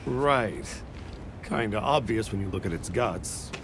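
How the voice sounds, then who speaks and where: A man's voice: on the low side, animated, a little slow, noisy background. An actor playing a blunt, unbothered engineer absorbed in his machinery in a video game, talking in a dry, offhand way. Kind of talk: video game cutscene acting.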